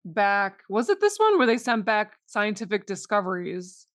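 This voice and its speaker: A woman's voice: mid-range voice, big pitch swings, normal speed, fairly bright tone, dry recording, studio quality. A woman co-hosting a podcast, talking casually about films in conversation. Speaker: podcast co-host. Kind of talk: casual film discussion.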